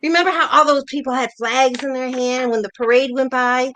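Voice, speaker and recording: A woman's voice: sits high, fairly even intonation, a little fast, fairly bright tone, slight background noise. A woman playing a playful, bossy older wife reminiscing fondly with a spouse in a staged play reading. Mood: wistful, delighted. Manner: teasing, nostalgic, conversational.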